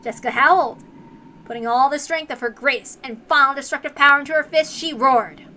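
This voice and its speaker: A woman narrating a visual novel aloud in an expressive, dramatic storytelling style. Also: high-pitched, fairly quick, slight background noise, rough recording. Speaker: narrator, reader.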